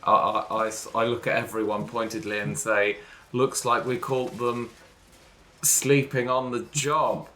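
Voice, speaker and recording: A man's voice: mid-range voice, little pitch movement, unhurried, bright, forceful tone. A man improvising casually as a tabletop game player, hesitant and self-deprecating.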